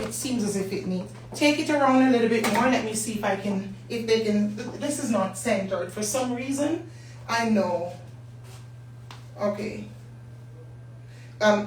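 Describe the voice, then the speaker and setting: A hoarse middle-aged woman with a Jamaican accent hosts a business talk live stream, chatting and greeting viewers by name. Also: fairly high pitch. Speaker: business talk show host. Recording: echoey room, distant mic, faint hum.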